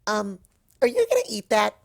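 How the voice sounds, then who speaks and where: A narrator voicing a hyper, bubbly young female cartoon pony who gushes excitedly and teases. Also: medium pitch, vocal fry, voice pushed up. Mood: pushy, eager. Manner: excitable, gushing, drawn-out teasing words.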